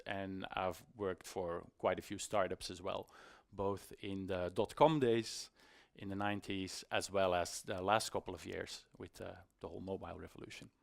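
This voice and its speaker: A middle-aged man with a fairly low voice speaks as a conference presenter. His delivery is informal and conversational, with a self-deprecating manner and hesitant phrasing. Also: gravelly. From Belgium. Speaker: conference speaker, iOS developer. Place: conference stage in a hall.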